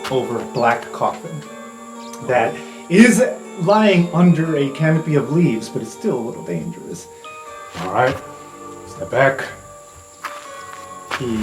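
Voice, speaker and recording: A woman's voice: a bass voice, low-quality recording. A woman improvising narration as game master of a tabletop roleplaying game.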